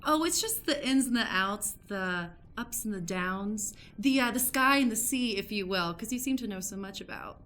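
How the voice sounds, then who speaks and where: A woman's voice: mid-range voice, expressive, normal speed, few pauses, a bit bright, a little hiss or hum, natural voice. A woman playing an eager, awkward amateur journalist in an improvised radio play, hesitant and prying in a polite way. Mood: nosy, flustered.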